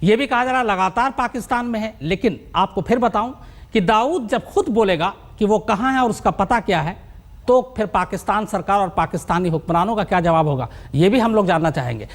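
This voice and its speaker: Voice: medium pitch, expressive, brisk. A news anchor presenting live on television, emphatic and repeating key phrases to build up to each point.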